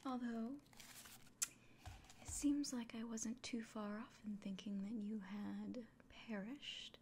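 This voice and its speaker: A woman playing a kind, anxious village innkeeper in a fantasy ASMR roleplay, speaking gently and directly to the listener in a breathy, mostly whispered voice. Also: fairly high pitch, fairly even intonation, slow, slight background noise. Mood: grateful.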